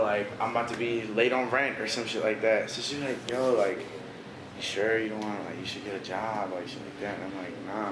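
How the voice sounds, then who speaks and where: A young man from the Washington DC area, a visual artist chatting casually as an interview guest, laid-back and full of "bro" and "you know". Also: lowish voice. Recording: echoey room with noticeable background noise.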